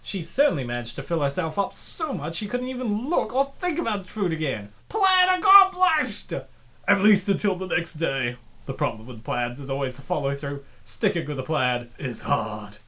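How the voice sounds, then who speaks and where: A man with a lively, widely swinging voice narrates a comic dub. He breaks in with sarcastic, exclamatory reactions as a casual co-host. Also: fairly high pitch, moderate pace.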